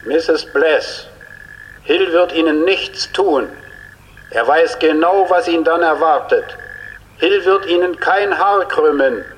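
An actor playing a stern police officer calling out formal, commanding surrender orders in a radio crime drama. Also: male voice, on the high side, slow. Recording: long echo, outdoor hail, hissy.

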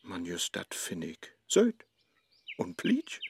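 An actor playing a hapless, lovestruck Faust in a comic rhymed radio play, with a Low German accent and theatrical asides. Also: male voice, wildly swooping pitch, takes it slowly, slight background noise, full character voice. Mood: muttering, musing. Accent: Low German, northern Germany. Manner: theatrical, exclaiming, muttering asides. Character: lovestruck, hapless modern Faust chasing a young woman.

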